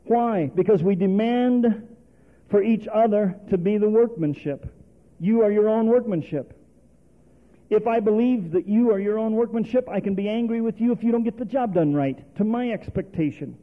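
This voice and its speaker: A man's voice: high-pitched, moderate pace. A male preacher, delivering a sermon full of rhetorical questions and calls for response. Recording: tinny phone-like sermon recording.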